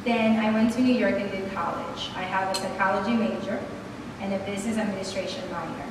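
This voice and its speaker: Lively woman speaker, roomy echo from far off, some hiss. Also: mid-range voice, a little fast. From Dominican Republic. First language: Spanish. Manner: lively, upbeat, faith-filled. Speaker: guest speaker. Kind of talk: motivational talk. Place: hotel meeting room. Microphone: podium mic on a stand, recorded by a far camera. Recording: echoey room from far off, noisy.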